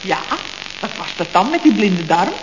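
A woman's voice: medium pitch, big pitch swings, moderate pace, lightly acted. A woman playing a chatty, scatterbrained secretary in a Dutch radio comedy from around 1970, full of questions. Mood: bemused. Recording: roomy, dull, hissy old radio recording.

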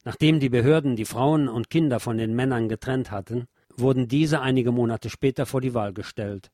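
A man reading scripted documentary narration in a measured, solemn, literary manner. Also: mid-range voice, mellow, dry recording, clean studio sound.

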